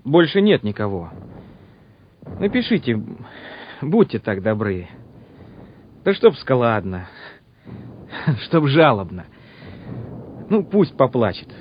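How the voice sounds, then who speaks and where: An actor playing a quiet young soldier who talks haltingly and puts on bravado before battle, in a Soviet radio drama. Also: male voice, medium pitch, big pitch swings. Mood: wistful pleading. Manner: halting, repeats himself. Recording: muffled old radio drama recording, noisy.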